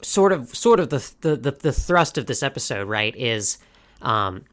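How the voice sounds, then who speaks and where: A podcast co-host in casual conversation, with a voice pitched right in the middle. They speak articulately and steer the talk from one topic to the next. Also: big pitch swings, unhurried. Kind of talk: casual chat.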